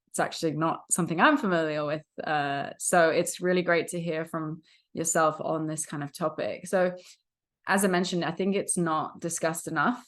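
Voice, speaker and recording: A woman's voice: deep voice, fairly bright tone. A woman hosting an interview podcast who asks her questions in long, hedging, meandering sentences. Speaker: podcast host.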